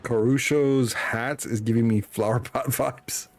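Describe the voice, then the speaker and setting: A man's voice: mid-range voice, fairly even intonation, a little slow. A man streaming a video game, chatting casually and making informal, joking commentary. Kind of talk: casual chat and commentary over gameplay.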